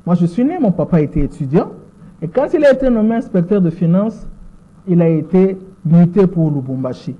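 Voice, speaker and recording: A woman in her sixties with a Congolese French accent, giving measured answers as an interview guest and often repeating words while she searches for them. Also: fairly low pitch, lively intonation. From Democratic Republic of the Congo. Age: 60s. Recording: tinny phone-like sound.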